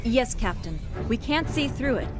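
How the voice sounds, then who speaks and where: An analytical professor in a sci-fi game cutscene. Her voice is a little high, self-assured and matter-of-fact. The music behind her is very loud. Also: big pitch swings, moderate pace.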